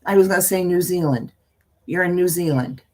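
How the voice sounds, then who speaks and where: An older woman with a husky voice and a folksy, meandering manner, talking as a storyteller hosting her own live stream. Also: fairly low pitch, a little fast, darkish tone. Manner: folksy, meandering, often trailing off and repeating. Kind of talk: casual rambling chat with viewers; reading a spooky story aloud.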